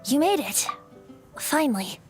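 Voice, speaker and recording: Boyish whimsical bard over music. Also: female voice, sits high, very animated, a little slow. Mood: playful. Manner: lyrical, theatrical storytelling. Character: boyish, whimsical ancient wind spirit bard. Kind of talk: video game voice acting.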